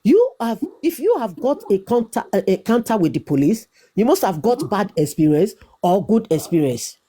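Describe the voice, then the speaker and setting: A woman's voice: medium pitch, big pitch swings, normal speed, warm tone, husky. A Nigerian woman arguing insistently in a heated debate, repeating herself and talking over interruptions.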